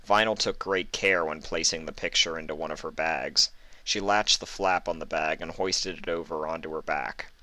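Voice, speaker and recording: A man's voice: rumbling low, fairly even intonation, normal speed, pushed and bright, dry recording. A man reading a scripted story aloud as its narrator.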